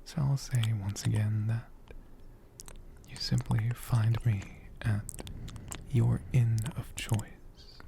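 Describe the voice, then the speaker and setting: A performer voicing a gentle, affectionate dragon healer in a soft, breathy ASMR whisper, slow and soothing with tender, lingering pauses. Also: medium pitch, background noise. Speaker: ASMR creator.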